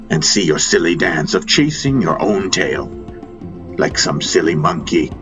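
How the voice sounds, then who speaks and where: A man voicing a loving but exasperated spiritual master in a fantasy audiobook, preaching emphatically and pausing between phrases. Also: low voice. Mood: earnest admonishing. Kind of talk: dramatic fantasy audiobook dialogue. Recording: music behind the voice.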